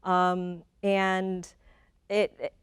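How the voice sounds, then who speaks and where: A woman in her forties from Michigan talks through her story with a smooth, clear voice. She often repeats a word as she finds her thoughts and breaks into easy laughter. The sound is close and dry, with no room behind it. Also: on the low side, flat delivery, drawn-out words, no room sound. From Michigan, USA. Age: 40s. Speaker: guest. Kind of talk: conversational interview.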